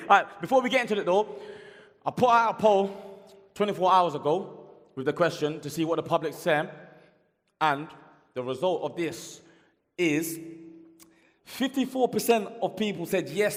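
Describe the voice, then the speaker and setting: A man hosting a casual living-room debate show, loud and playful. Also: a little high. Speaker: host. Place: living room with a live audience. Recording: long reverb from the room.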